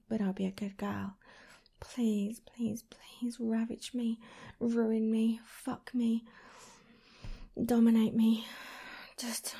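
A young woman speaking an intimate monologue in a whispery, breathy voice, pleading and teasing close to the microphone. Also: fairly high pitch, mellow. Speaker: audio performer.

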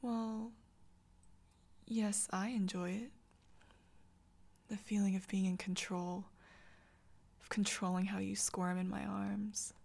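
Woman doing an intense soft girlfriend, close whispery voice w a gravelly edge, stammers when flustered. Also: medium pitch. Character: intense, tender young girlfriend. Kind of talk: whispered audio roleplay.

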